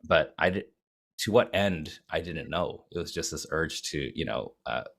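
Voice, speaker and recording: A man's voice: very deep voice, animated, normal speed, gravelly, a bit bright. A man from Japan who speaks with an American accent, telling his story as an interview guest in a rambling way with many fillers and restarts. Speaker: guest, video agency founder.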